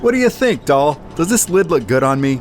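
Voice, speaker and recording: Noir comedy sidekick played by a man: flippant married fellow, animated intonation, playful, slight traffic noise underneath. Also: fairly high pitch, brisk. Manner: playful, breezy wisecracking. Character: goofy, flippant husband and sidekick, adult man. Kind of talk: noir comedy radio drama dialogue.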